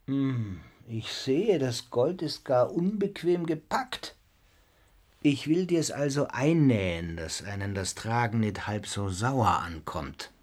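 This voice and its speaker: An actor playing a roguish adventurer in a radio play, speaking in a measured, low-key way with a slightly husky voice. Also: male voice, expressive. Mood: sly. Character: Simplicius, roguish male adventurer, companion to a robber. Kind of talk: radio play acting in archaic German.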